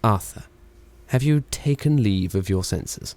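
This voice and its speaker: A man's voice: fairly low pitch, lively intonation, a little slow, gravelly, darkish tone. A man acting a witty, sardonic, reluctant 1920s wireless engineer in a radio play, with a rambling, flippant delivery. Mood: exasperated, protesting. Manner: wry, rambling, exasperated, theatrically flippant.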